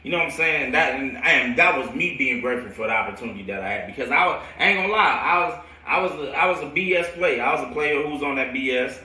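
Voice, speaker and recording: A man's voice: mid-range voice, lively intonation, fast, few pauses, bright, forceful tone. An American man in his late twenties telling stories casually in a slangy, rambling way, often repeating his phrases. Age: late 20s. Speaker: football coach telling his own stories to viewers. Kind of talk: casual storytelling livestream. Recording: roomy echo, distant from mic.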